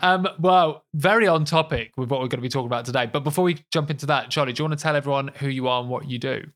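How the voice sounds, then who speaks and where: A tired man hosting a podcast in a chatty, informal, self-deprecating way, his voice slightly croaky. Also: mid-range voice, racing, a bit bright. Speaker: podcast host.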